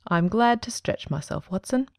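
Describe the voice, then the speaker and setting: A woman playing a composed, precise male detective in a dramatic audiobook, speaking in a measured, formal and articulate way. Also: mid-range voice, animated, vocal fry, no echo, clean studio sound. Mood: composed, courteous.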